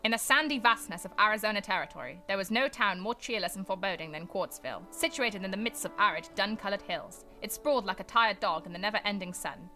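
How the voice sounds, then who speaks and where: Fast-talking woman as a dramatic radio announcer. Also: medium pitch, bright, forceful tone, close to an everyday voice. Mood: grave, dramatic. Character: earnest announcer of an old western radio serial. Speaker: announcer and narrator. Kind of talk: dramatic scripted old-time radio narration. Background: music.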